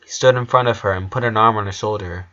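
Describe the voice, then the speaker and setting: A man's voice: lowish voice, fast, a bit bright, muffled recording. A man reading scripted story narration as the narrator.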